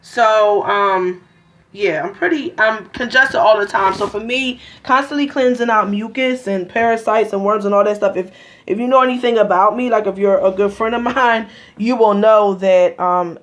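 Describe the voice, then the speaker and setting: A woman walks viewers through a juicing routine in her home kitchen. Her voice sits at a middle pitch and wanders, full of ums and restarts. She moves closer to and farther from the camera as she works. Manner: rambling, many ums and restarts. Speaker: vlogger. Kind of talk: casual kitchen demonstration.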